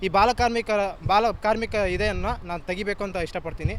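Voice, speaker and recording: A man giving an informal speech as a contest participant, halting and restarting his phrases. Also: high voice, steady tone. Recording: faint outdoor traffic noise.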